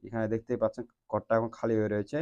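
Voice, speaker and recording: A man's voice: on the low side, level tone, racing, mellow, dull audio. A man hosting a step-by-step phone tutorial in a repetitive instructional way. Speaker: tech tutorial host.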